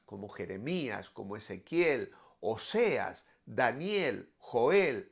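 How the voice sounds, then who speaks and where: Slow-paced, expressive male pastor. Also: on the high side. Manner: measured, didactic delivery with emphatic phrasing. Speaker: pastor giving a daily devotional. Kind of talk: devotional Bible teaching with scripture reading.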